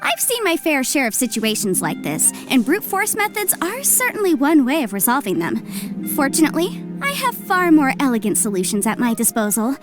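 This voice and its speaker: A woman's voice: high-pitched, huge pitch swings, normal speed. A woman playing a shrewd, confident young legal adviser in an anime-style video game, articulate, explanatory and businesslike. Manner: articulate, explanatory, self-assured. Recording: soft music behind the voice. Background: game music.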